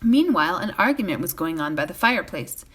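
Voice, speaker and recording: A woman reading a bedtime fantasy novel aloud as a volunteer, in an expressive storytelling style. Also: on the low side, brisk, breathy edge.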